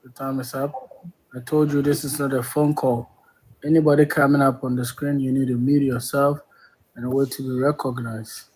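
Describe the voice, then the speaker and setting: A man's voice: medium pitch, little pitch movement, moderate pace, a little mellow, husky, poor audio. A man moderating a live call-in, firm and businesslike as he runs the queue.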